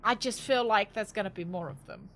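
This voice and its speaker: A woman's voice: mid-range voice, animated, fairly quick, fairly bright tone, slight background noise. A woman doing casual live game commentary, thinking aloud in a playful, cheeky, rambling way and swearing freely. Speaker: game streamer.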